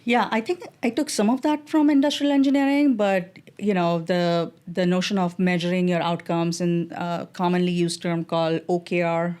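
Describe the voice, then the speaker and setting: A middle-aged woman with an Indian English accent, a guest on an interview podcast, answering in a measured way with many fillers and restarts. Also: on the low side, level tone, a little mellow, clear, resonant tone. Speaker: guest, design executive.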